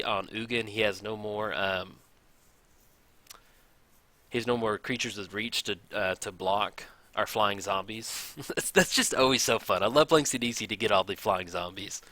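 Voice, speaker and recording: A man casually commenting on a card game match, thinking aloud, his voice a little raspy from hours of recording. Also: lowish voice, normal speed, no room sound. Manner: chatty, thinks aloud, counts out loud. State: slightly raspy from long recording. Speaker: gameplay commentator.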